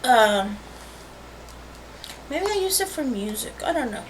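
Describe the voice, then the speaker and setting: A high-pitched woman giving a casual, rambling vlog introduction as host of an art channel, recorded on a webcam microphone. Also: very animated, unhurried. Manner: rambling, informal asides and self-corrections. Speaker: host of a watercolor painting channel. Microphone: built-in webcam microphone. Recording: faint noise on a webcam mic.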